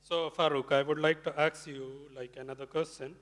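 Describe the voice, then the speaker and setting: A man hosts an informal panel with a forceful, bright voice. It sits a little high for a male speaker. Long reverb from a hall surrounds his questions. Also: little pitch movement, normal speed. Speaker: moderator of a student panel. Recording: reverberant hall over microphone.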